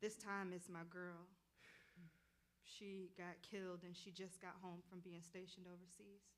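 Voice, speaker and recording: A woman's voice: fairly low pitch, level tone, measured pace, fairly bright tone. A woman playing an earnest, restless young woman who dreams of dancing, speaking defensively and plainly in a staged play reading. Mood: troubled, pleading. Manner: defensive, hesitant, streetwise plain talk.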